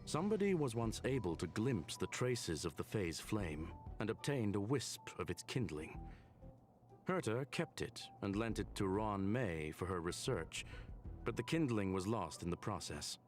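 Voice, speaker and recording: A man's voice: on the low side, very animated, normal speed. An actor playing a learned, serious man in a video game cutscene, explaining an investigation in articulate, expository lines. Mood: serious, explanatory. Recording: faint music behind the voice. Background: faint background music.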